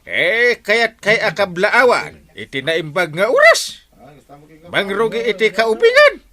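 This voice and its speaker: Bossy folksy village captain, Ilocano accent, highish voice, wildly animated, comedy radio drama. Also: male voice, full character voice. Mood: excited, jovial. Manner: exclamatory, starts phrases with drawn-out interjections. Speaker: lead comic character.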